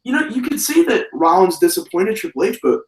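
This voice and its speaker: Man, hoarse, slightly high voice, animated rambling talk, casual wrestling chat, echoey room, far from the mic. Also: a little fast, crowd noise. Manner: animated, rambling, many fillers and restarts. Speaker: wrestling podcast host.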